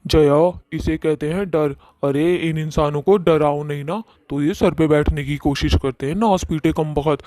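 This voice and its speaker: A man's voice: fairly high pitch, fairly even intonation, unhurried, few pauses, dark tone, no echo, lightly acted. A man voicing a crude, swaggering ghost in a comic horror cartoon, blustering and threatening. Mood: smug. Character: swaggering male ghost, crude, bullying, menacing. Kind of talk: Hindi cartoon horror-comedy acting.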